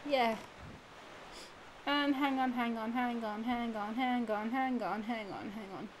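A woman's voice: on the high side, steady tone, a little slow, poor audio. A young woman with a stuffy nose from a cold, giving rambling casual commentary over a video game, full of fillers and restarts. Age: young adult. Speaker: let's play gamer. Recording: audible background noise.

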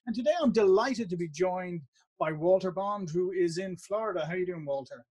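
Middle-aged guy hosting a video call interview, upbeat, kinda rambly questions, slightly high voice. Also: normal speed, a little mellow, breathy edge. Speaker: interview host. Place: home office in front of a branded backdrop. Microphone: studio mic on a video call.

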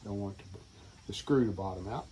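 A man's voice: on the low side, fairly quick, mellow. A middle-aged man hosting a how-to video, talking casually and thinking aloud as he works in an outdoor concrete stairwell. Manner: plainspoken, thinking aloud while working. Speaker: DIY channel host. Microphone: camera mic, sometimes far from camera. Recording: outdoor camera audio, birds and ambient noise. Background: outdoor ambience with birds.

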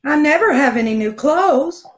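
A woman as a high-pitched, plaintive long-suffering wife. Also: animated, normal speed, a little hiss or hum. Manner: plaintive, complaining. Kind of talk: old-time radio comedy acting.

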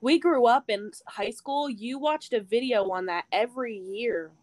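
A woman's voice: fairly high pitch, a little slow, dry recording. A woman in her early twenties chatting casually on a podcast, leaning on fillers like "like". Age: 20s. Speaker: podcast co-host. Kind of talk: casual chat.

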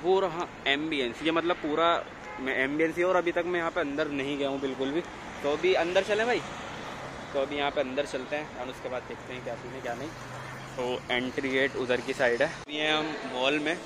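A man's voice: fairly high pitch, steady tone, a little fast. A young man chatting casually for a vlog, slangy and mixing in English words. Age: young adult. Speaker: vlogger. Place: city pavement, mall and parking area. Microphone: phone at arm's length. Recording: noisy handheld recording, traffic and ambience.

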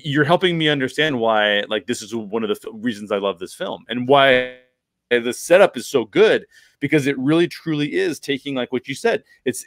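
Man, podcast host, mid-range pitch, explanatory, emphatic, casual film talk, dry close recording. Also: sharp tone, no echo. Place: bedroom at home. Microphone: large microphone close to the mouth.

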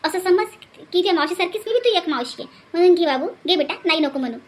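A very shrill, quick-talking older village auntie from a cartoon played by a woman. She is warm-hearted and gushing, repeating herself insistently in Vidarbha Marathi. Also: expressive. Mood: coaxing, insistent. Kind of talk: comedy cartoon dialogue.

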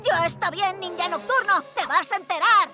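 Woman as a bold, high-voiced boy superhero, Castilian accent. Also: moderate pace. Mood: resolute. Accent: Castilian Spanish. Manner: earnest, commanding. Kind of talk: animated cartoon dub. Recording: music behind the voice.

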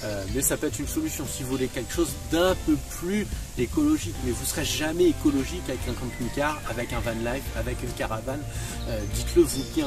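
A man's voice: mid-range voice, recorded from a distance. A young man talking to the camera outdoors beside a camper van, rambling with many fillers and insisting on his point. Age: young adult. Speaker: vlogger. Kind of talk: casual to-camera vlog. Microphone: camera a few feet away.

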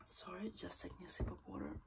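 A woman with a low, gravelly voice and a stuffy cold chats her way through a crafting session in her small craft room. She drifts between easy talk and half-whispered murmurs to herself. The recording carries steady background noise and clicks. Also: fast. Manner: chatty, often murmuring half-whispered asides while working. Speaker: crafting vlogger. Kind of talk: casual crafting walkthrough.

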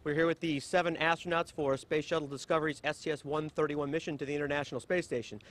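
A man moderating a press briefing with a quick formal introduction. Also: on the high side, steady tone, fairly bright tone. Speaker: event moderator.

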